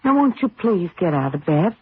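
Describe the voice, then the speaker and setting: An actress in an old radio drama, playing a caring, upbeat wife who coaxes and supports, her voice husky. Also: fairly low pitch, sing-song, moderate pace, exaggerated voice. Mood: cheerful, coaxing. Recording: tinny narrowband old radio broadcast.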